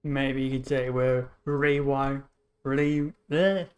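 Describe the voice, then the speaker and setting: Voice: mid-range voice, fairly even intonation, takes it slowly. A game streamer giving casual commentary, muttering offhandedly to themselves while playing. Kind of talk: casual gameplay commentary, reading aloud.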